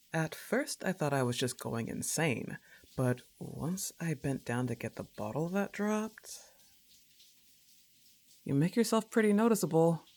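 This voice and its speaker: A woman's voice: very deep voice, darkish tone, full character voice. A woman playing a laid-back, teasing, slightly annoyed young goth roommate in a roleplay audio, with a sarcastic, casual drawl. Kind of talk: acted roleplay ASMR monologue.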